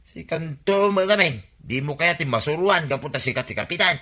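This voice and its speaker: An actor playing an exasperated, insistent village friend in an Ilocano radio comedy drama, with an Ilocano accent. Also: male voice, on the high side, animated, normal speed, pushed and bright. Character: nagging, exasperated male village buddy of the captain.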